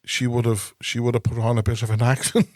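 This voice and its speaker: A man with a North Side Dublin accent, co-hosting a podcast and telling animated stories full of laughter. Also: medium pitch, quick pace, no room sound, clean studio sound. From Ireland. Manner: animated storyteller, slips into mimicked voices, laughs often. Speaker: podcast co-host. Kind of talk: casual chat, storytelling.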